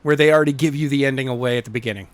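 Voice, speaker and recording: A man's voice: mid-range voice, rapid-fire, no echo. A man co-hosting a podcast, chatting casually about books and storytelling. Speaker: podcast co-host and amateur narrator. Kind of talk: casual book discussion.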